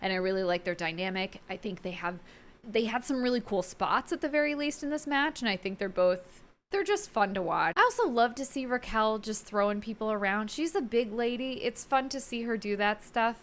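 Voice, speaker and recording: A woman's voice: fairly high pitch, lively intonation, a little fast, fairly bright tone. A woman giving casual, enthusiastic opinion commentary as a video host, chatty and informal with emphatic delivery.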